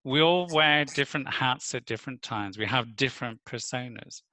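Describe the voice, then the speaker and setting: A man panellist speaking in a measured, reflective way on a video-call panel. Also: mid-range voice, bright, forceful tone, no echo. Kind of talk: panel discussion.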